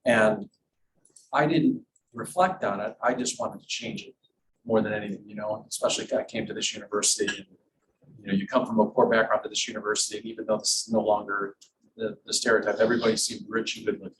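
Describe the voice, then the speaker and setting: A man's voice: fairly low pitch, steady tone, fast. A man, a professor hosting an interview in his university class, speaking in a chatty, enthusiastic way with restarts. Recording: distant classroom mic with room noise.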